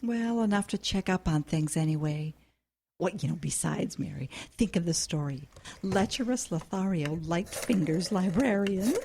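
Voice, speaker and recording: A woman's voice: on the low side, animated, moderate pace, gravelly, darkish tone, husky, heavily acted. An actress playing a flighty, nosy older mother in a comedic audio drama, whimsical and teasing. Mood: breezy. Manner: whimsical, sing-song, teasing. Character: flighty, nosy, playful mother of grown daughter.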